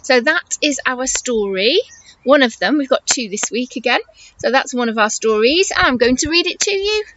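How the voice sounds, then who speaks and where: A woman teacher reading a story to young children, encouraging and expressive, asking questions and explaining as she goes. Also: sits high, moderate pace, muffled recording, a little hiss or hum. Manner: encouraging, expressive, exaggerated intonation for small children.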